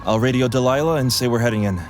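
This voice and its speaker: An actor playing a weary, sarcastic but dedicated paramedic driver in an audio drama, gruff and exasperated. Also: male voice, mid-range voice, fast, soft background music, lightly acted. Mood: urgent. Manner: sardonic, exasperated, swearing. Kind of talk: audio drama acting with internal narration. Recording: clear vehicle ambience behind the voice. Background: ambulance and traffic sound effects.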